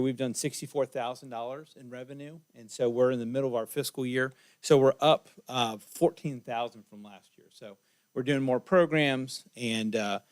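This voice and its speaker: A man's voice: medium pitch, no echo. A man who manages a state park gives an informal update to a county board, with many ums and uhs. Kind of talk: public presentation at a county board meeting. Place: county board meeting room.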